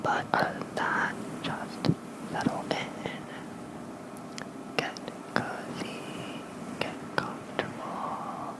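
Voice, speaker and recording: A very soft, fully whispered voice reads bedtime lore in an ASMR style. The delivery is slow, gentle and soothing. It is breathy and close on a fluffy mic. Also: rough recording. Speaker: ASMR host reading lore. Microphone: close fluffy-covered microphone.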